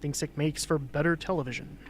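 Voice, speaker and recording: Man acting a blunt, higher-voiced sardonic mentor. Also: steady tone, a little fast, lightly acted. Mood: wry. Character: blunt, sardonic older male mentor of young soldiers. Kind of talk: amateur radio drama acting. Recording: faint background noise and ambience.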